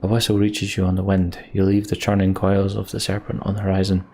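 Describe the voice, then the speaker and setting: A man with a low, gravelly voice playing through a story game. He comments casually and narrates as he goes, with faint background noise on the recording. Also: monotone, fairly quick, warm tone. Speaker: let's play streamer.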